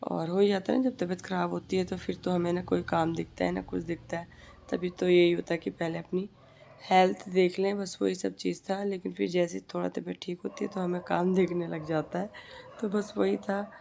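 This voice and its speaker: Woman in her 30s, throat-sore and husky, rambling chatty voice-over, dark mellow tone. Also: lowish voice, level tone, normal speed, slight background noise. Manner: chatty, rambling, repeats herself. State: hoarse, heavy voice from a sore throat. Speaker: family vlogger. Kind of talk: casual voice-over narration. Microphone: voice-over recorded separately from the footage.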